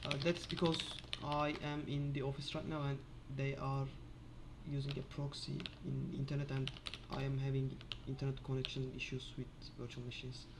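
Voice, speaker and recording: A man casually thinking aloud in an office while fixing software, halting with many filler sounds. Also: mid-range voice, completely flat delivery, noisy background. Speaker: Linux developer screencasting.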